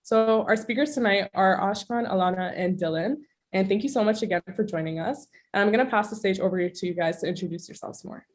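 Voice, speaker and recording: A young woman from Toronto opens an online student webinar, talking over a video call. Her voice sits at an ordinary middle pitch, and she delivers a friendly, semi-scripted welcome sprinkled with "like" and "um". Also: a little fast, few pauses. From Toronto, Canada. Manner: friendly, scripted presenter with many "like" fillers. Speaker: webinar host, student club vice president.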